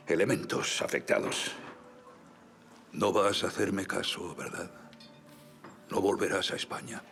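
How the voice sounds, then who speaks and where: An apprehensive old gentleman of a period audio drama. His voice is very deep and full of gravel. He speaks bluntly and gravely, protective beneath the grumbling. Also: slight background noise, heavily acted. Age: older. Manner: stern, grave, solemn warnings.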